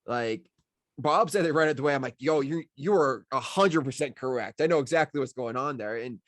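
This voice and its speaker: A man talks casually in a group discussion as a writer. His voice sits at a middle pitch and sounds dry and close. He rambles along, effusive and appreciative. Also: brisk, dry recording. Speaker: writer receiving feedback on his script.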